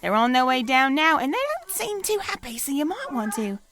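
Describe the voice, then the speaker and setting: Casting: woman to play firm, protective pony mechanic friend, informal audio drama delivery, high and very animated. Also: moderate pace, background noise. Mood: explaining, hesitant. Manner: coaxing, earnest, informal. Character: female pony maintenance worker, caring, firm, foul-mouthed friend.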